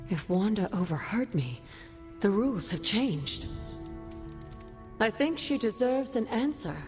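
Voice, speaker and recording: An actress playing a composed, kindly witch spirit in a video game cutscene, speaking deliberately. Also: medium pitch, very animated. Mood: concerned, decided. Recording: prominent music behind the voice.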